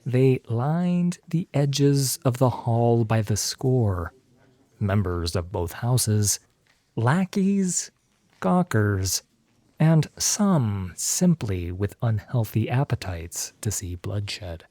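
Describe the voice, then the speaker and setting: A man narrating a scripted fantasy story as an audiobook narrator, with deliberate, dramatic phrasing. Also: medium pitch, sing-song, warm tone, no room sound.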